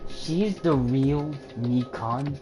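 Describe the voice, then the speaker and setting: Slow-talking, swearing woman streamer. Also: extremely low pitch. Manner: swears a lot, exasperated banter. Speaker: streamer reading a game. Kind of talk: casual livestream game read-along. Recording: game music playing behind.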